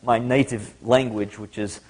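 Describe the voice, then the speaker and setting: A man's voice: medium pitch, steady tone, a little slow, darkish tone. A man with an Australian accent lecturing a university class, explaining step by step and putting questions to the students. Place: lecture hall.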